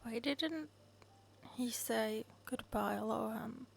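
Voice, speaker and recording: A woman streamer reacting live to a game with playful, mocking asides. Her slightly high voice is gravelly and slow, drawn out in amused remarks. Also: slight background noise.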